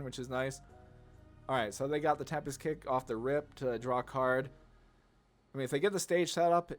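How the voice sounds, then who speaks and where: A man giving casual, rambling commentary on a card game, thinking aloud in slangy, informal speech. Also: medium pitch. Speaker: gaming content creator. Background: background music.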